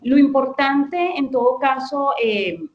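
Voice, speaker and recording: A woman's voice: sits high, takes it slowly, roomy sound, dull audio. A woman speaking as a guest lexicographer, explaining her ideas thoughtfully, with frequent hesitations and restarts. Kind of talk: explanatory conversation.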